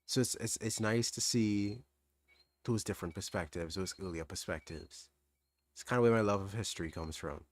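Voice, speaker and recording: A young man with a mild Trinidadian accent answering chat questions on a livestream, relaxed, thinking aloud with restarts. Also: on the low side, normal speed. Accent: Trinidadian, fairly standard. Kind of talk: casual livestream Q&A.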